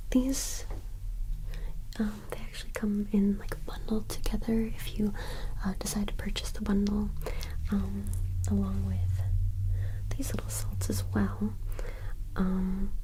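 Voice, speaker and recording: A woman speaks in a soft, breathy whisper with very little rise and fall. She plays a shopkeeper in an ASMR roleplay, offering suggestions in a loose, unscripted, slightly hesitant manner. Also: mid-range voice, low-quality recording.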